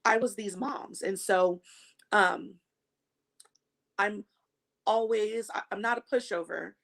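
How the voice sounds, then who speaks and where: Hoarse, fast-talking woman guest. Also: mid-range voice, a bit bright. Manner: nervous, quick, rambling with many fillers. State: hoarse from a cold. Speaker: interview guest. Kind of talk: conversational interview.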